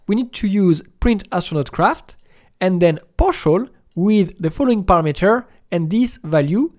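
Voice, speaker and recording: A man with a high-pitched voice presents a step-by-step software tutorial. His intonation moves up and down a lot as he explains each step in an instructional manner.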